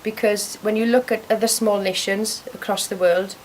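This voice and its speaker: A woman giving a thoughtful documentary interview, pausing often with ums. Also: mid-range voice, fairly even intonation, darkish tone, a little hiss or hum, low-quality recording.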